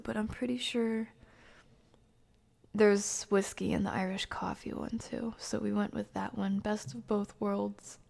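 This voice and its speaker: A woman's voice: medium pitch, vocal fry, darkish tone. A woman hosting a livestream, chatting casually and informally with viewers in a rambling way. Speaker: live streamer hosting a stream. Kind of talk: casual livestream chat with viewers, reading comments.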